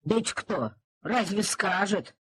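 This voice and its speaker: An actress playing a pitying village nanny in an audio play, with folksy, plain peasant speech. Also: female voice, mid-range voice, expressive, slow, fairly bright tone, no echo, exaggerated voice. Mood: sorrowful, resigned. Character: sleepy, pitying old-fashioned village nanny. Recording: slightly husky cassette transfer.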